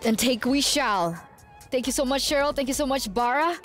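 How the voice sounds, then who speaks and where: A woman's voice: sits high, normal speed, faint music behind. A woman hosting an esports studio desk, playful and teasing with her co-caster.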